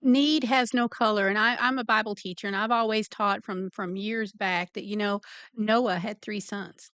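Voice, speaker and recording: A woman's voice: mid-range voice, fairly even intonation, normal speed, fairly bright tone, clean tone, no echo, dull audio. A woman in her fifties hosting an interview show, talking in a chatty, folksy way. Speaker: talk show host. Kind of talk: casual interview chat.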